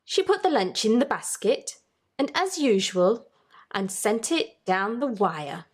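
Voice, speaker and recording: A woman hosting a children's story time, reading aloud in a deliberate, teacherly way and putting questions to young listeners. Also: on the high side, animated, husky.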